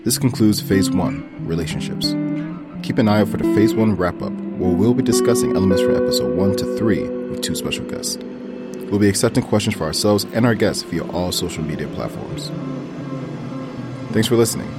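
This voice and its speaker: A man's voice: deep voice, normal speed. A man presenting a podcast, reading a scripted sign-off. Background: loud plucked guitar theme music.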